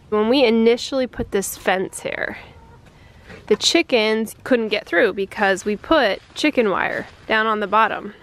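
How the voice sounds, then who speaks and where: A woman in her thirties talks to her camera outdoors in a sunny yard, chatty and quick to laugh at herself. Her voice sits a little high, and she takes her time, speaking slowly and loosely. A faint bed of music runs underneath. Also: a bit bright. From United States. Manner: chatty, self-deprecating, laughs often. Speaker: homestead vlogger. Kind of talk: casual vlog narration. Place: outdoors in a sunny backyard farm. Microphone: handheld camera at arm's length.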